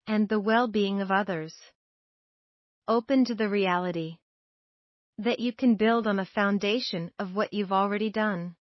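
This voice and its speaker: Female meditation guide, measured scripted delivery, medium pitch, close and dry with no room sound. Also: no echo, muffled recording.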